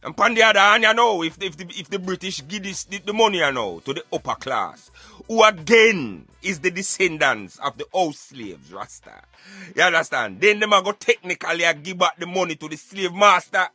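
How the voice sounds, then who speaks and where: Jamaican man, high voice, dry close recording, emphatic streetwise radio banter, argumentative. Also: animated, normal speed, sharp tone, dry recording, faint music behind. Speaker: radio show host. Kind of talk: animated opinionated radio banter in Patois.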